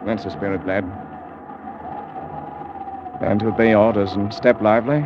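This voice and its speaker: An actor playing a resolute, commanding ship captain in a 1940s radio drama. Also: male voice, on the low side, fairly even intonation, normal speed, background noise, lightly acted. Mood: gruffly fond. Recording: muffled old radio broadcast, music behind.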